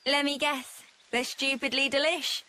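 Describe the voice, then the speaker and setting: A woman's voice: high voice, little pitch movement, unhurried, bright, forceful tone, no echo. A woman playing a casual, cheeky young adventurer in a video game. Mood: wry. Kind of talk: video game cutscene acting.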